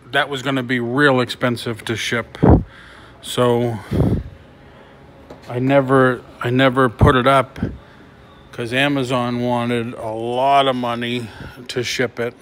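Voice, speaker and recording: A middle-aged man chatting casually on a live vlog from inside a shop, in a halting way, recorded on a handheld phone. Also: medium pitch, little pitch movement, a bit bright. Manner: halting, reading and answering comments. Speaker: toy reseller livestreaming. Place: large concrete-floored antique mall booth indoors. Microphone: phone held at arm's length or closer. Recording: handheld phone with clear ambient noise.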